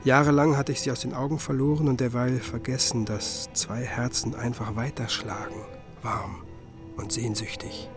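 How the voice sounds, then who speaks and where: An actor playing a pensive musicologist who tells his story in a literary radio drama, reflective and measured. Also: medium pitch, flat delivery, music in the background, natural voice. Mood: wistful, musing. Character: pensive male musicologist, wistful first-person storyteller.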